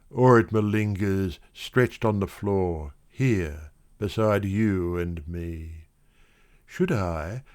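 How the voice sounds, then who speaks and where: A man reads poetry aloud in a low voice. He takes his time, very slow and measured, shaping each phrase expressively as a dramatic literary recitation. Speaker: poetry reader.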